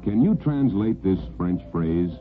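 A man's voice: low voice, expressive, a little slow. A man reading a scripted public service announcement as a vintage radio announcer. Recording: lo-fi vintage radio, faint music.

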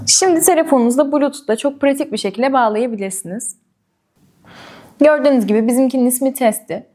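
A woman's voice: high-pitched, fast, warm tone. A woman presenting a product review and unboxing, explaining step by step and addressing viewers directly.

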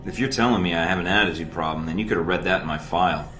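Man acting deep-voiced young American agent recruit, cocky, flippant, video game scene, music underneath. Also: rapid-fire, echoey room, close to an everyday voice. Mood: flippant, impatient. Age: young adult. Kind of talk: video game cutscene dialogue.

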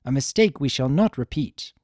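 Man acting proud younger-sister pony princess, storybook reading, formal, emphatic, mellow, very varied intonation. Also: mid-range voice, animated, normal speed, no echo, studio quality, close to an everyday voice. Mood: grave, formal.

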